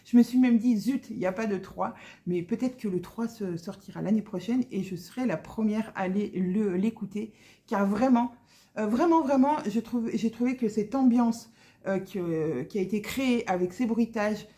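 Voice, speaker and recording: A woman giving a casual, enthusiastic spoken audiobook review, emphatic and often pausing to search for her words. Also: medium pitch, dark tone. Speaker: book reviewer.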